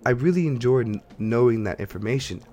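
A man hosting a podcast in casual chat, telling things in an animated, rambling way and acting out imagined reactions. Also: mid-range voice, a little fast. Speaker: podcast host. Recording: background music under the voice. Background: music.

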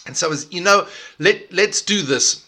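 Bright high South African man. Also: normal speed. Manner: energetic and emphatic, with repetitive restarts. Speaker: podcast host. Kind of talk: solo leadership podcast talk.

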